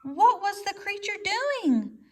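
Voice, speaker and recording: Woman voicing very high, squeaky, panicky storybook weasel. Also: very animated, measured pace, mellow, exaggerated voice. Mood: frightened. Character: small frightened male weasel.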